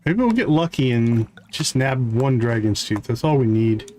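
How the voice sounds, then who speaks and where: Male game streamer, average pitch, casual play-by-play, thinks aloud, music underneath. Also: lively intonation, moderate pace, warm tone. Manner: thinks aloud while playing. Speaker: host of a live game stream. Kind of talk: casual live gameplay commentary.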